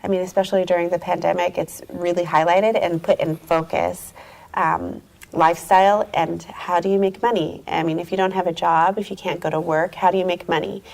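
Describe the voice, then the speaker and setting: A middle-aged woman chats easily about her work in a casual interview, upbeat and quick to laugh. Her voice sits a bit low and carries a gravelly fry. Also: steady tone, darkish tone. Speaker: guest, company executive.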